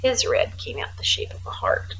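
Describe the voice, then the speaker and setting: A woman reading a children's storybook aloud like a teacher, expressively, with casual, hushed asides. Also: moderate pace, in a whisper.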